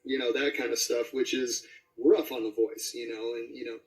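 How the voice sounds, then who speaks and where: Man, medium pitch, rambling jokey interview chat, roomy echo, distant from the mic. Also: fairly even intonation, moderate pace. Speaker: voice actor being interviewed. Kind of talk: casual interview anecdotes.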